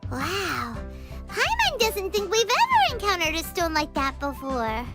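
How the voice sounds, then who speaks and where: A woman voicing a tiny, excitable girl companion in a video game, who talks about herself in the third person. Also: very high-pitched, a little slow, over loud music, full character voice. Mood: chirpy.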